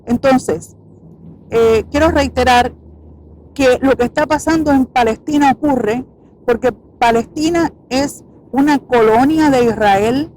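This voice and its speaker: A woman's voice: sits high, measured pace, a little mellow, low-quality recording. A Puerto Rican woman who founded an activist group, giving an earnest, emphatic speech to the camera outdoors in front of a building. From Puerto Rico.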